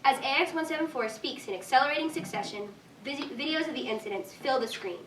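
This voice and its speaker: Woman narrator reading out a script, bit high pitched, echoey room, far from mic. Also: fast, fairly bright tone. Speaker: narrator reading stage directions. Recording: echoey room, distant mic, faint noise.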